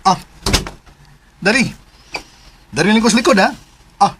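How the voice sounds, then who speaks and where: An actor with a Filipino accent playing an excitable, fussing adult son who stammers and repeats his words in a radio drama. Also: high voice, unhurried, noisy background. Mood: eager, deferential.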